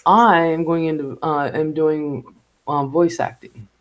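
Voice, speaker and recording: A man hosting a casual live stream chat, rambling and halting, with lots of ums and restarts. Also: a little high, fairly even intonation, fairly bright tone, dull audio. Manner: halting and rambling, with many fillers. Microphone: home microphone.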